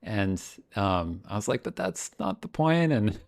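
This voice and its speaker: A man's voice: fairly low pitch, very animated, unhurried, dry recording, studio quality. A man as a podcast guest, chatting casually, laughing often and hesitating with many ums. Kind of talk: casual chat.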